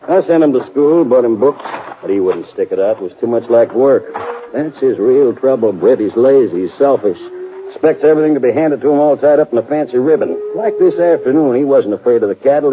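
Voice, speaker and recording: A man's voice: mid-range voice, expressive, fairly quick, slight background noise, natural voice. An actor playing a weary cattleman and older brother, plainspoken and somber. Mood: bitter. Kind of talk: 1950s radio drama acting. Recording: tinny old radio broadcast.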